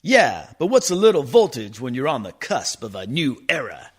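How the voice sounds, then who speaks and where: An actor playing a brash, excitable talent agent obsessed with being cool in a comic radio play. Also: male voice, mid-range voice, moderate pace, husky. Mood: giddy, showy.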